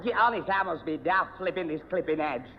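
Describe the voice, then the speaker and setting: A man's voice: a little high, animated, fairly quick. A man playing a dim, flustered, put-upon young man with a northern English accent, stammering and muddling his words in a 1970 radio sitcom. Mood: grumbling, muddled. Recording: muffled, noisy old radio recording, hall reverb.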